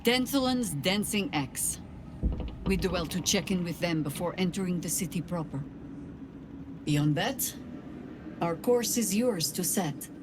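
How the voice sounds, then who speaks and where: Slow, low-voiced seasoned adventurer. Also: female voice, lively intonation, background noise. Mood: warm resolve. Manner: measured, earnest, grave. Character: seasoned older female adventurer, steadfast and resolute ally. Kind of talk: video game voice acting, dramatic dialogue.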